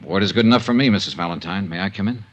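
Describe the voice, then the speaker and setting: An actor playing a cool, tough, persistent insurance investigator, dryly delivered in 1950s radio detective style. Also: male voice, low voice, racing, heavy background noise, lightly acted. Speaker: lead character and narrator. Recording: muffled 1950s radio recording.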